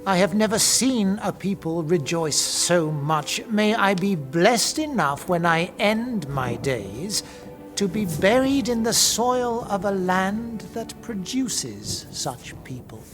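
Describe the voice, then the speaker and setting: A shrewd archbishop in a historical audio drama. He talks slowly and deliberately, with wide swings of pitch and a formal, probing manner. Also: big pitch swings, music in the background, heavily acted. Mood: pensive, grave. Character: shrewd, thoughtful medieval archbishop.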